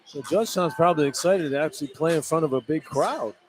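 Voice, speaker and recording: A man with a fairly high voice offers color commentary on basketball. He talks in a folksy, informal way over a noisy live radio feed from an arena. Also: fast talker. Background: clear arena noise.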